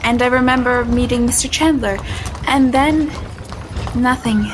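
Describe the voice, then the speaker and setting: A woman's voice: fairly high pitch, unhurried, background noise, close to an everyday voice. An actress playing an earnest, anxious young woman in a period audio drama, hesitant and wistful with proper, old-fashioned phrasing. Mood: shaken, haunted. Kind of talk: period audio drama acting with first-person narration.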